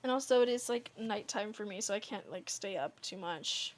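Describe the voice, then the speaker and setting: Hoarse young woman streamer. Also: mid-range voice, moderate pace. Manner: casual, playful, trailing off with restarts. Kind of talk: reading a dating sim aloud, casual chat. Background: music.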